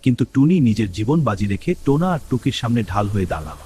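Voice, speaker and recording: A man's voice: medium pitch, sing-song, quick pace, warm tone. A man narrating a cartoon fairy tale in a storytelling manner. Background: faint music.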